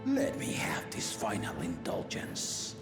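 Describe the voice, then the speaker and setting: Slow-spoken, gravelly aging king. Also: low voice, huge pitch swings, in a whisper, heavily acted. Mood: wry, commanding. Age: older. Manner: stately, deliberate, formal. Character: aging, regal father-king, amused, measured and commanding. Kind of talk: video game cutscene acting. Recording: roomy reverb under loud music.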